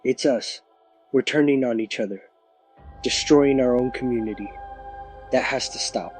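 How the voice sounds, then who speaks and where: A man's voice: medium pitch, normal speed, darkish tone, natural voice. A man playing a weary small-town sheriff in an audio drama news interview, firm and emphatic. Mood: stern. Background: music.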